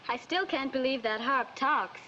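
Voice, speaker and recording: High-voiced, coy young princess. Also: female voice, normal speed, pushed and bright, a little hiss or hum. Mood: airy. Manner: coy, airy. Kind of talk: old fairy-tale film acting. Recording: dull old film soundtrack.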